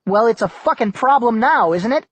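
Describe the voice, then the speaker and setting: A frustrated American man adrift at sea, sarcastic and exasperated, as a reader voices him. The tone is a little husky and recorded close and dry. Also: medium pitch, animated, normal speed, dry recording, voice pushed up.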